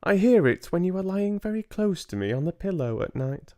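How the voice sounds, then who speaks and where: A man voicing a gentle, wise old grandmother, calm and loving, with tender, deliberate speech, in a storybook reading. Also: sits high, voice pushed up.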